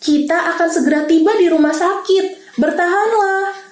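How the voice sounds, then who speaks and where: A woman's voice: extremely high pitch, moderate pace, slight background noise, voice pushed up. A woman voicing a brave young female ambulance vehicle who talks urgently and cares for patients. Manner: urgent, bright and caring. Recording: roomy echo.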